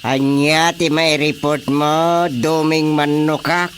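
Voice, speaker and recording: A man's voice: fairly high pitch, flat delivery, slow pace, a bit bright, slight background noise. A man with a Filipino accent playing a worried royal father in a comedic radio drama. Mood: questioning. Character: worried royal father awaiting his princess daughter. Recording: radio drama with faint animal ambience.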